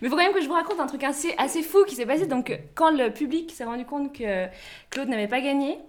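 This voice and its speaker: A woman's voice: high-pitched, quick pace. A woman co-hosting a podcast, telling a story in a chatty, amused way. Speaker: podcast co-host. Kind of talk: casual chat.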